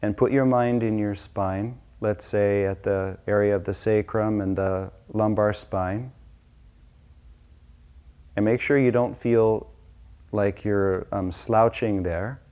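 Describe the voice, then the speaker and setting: Male meditation teacher, warm mellow tone, slightly low pitch, slow and gentle off-the-cuff guidance. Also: fairly even intonation, smooth.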